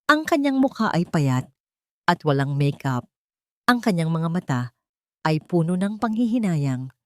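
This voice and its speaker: Low-pitched Filipino woman narrating, very dry sound. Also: sing-song, measured pace, a little mellow, dry recording. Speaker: audio story narrator. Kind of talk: scripted dramatic first-person story narration.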